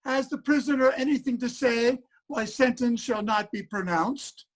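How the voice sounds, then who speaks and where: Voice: mid-range voice, normal speed, natural voice. An actor playing a stern, impatient nineteenth-century judge, formal and insistent, in a reader's theater courtroom drama.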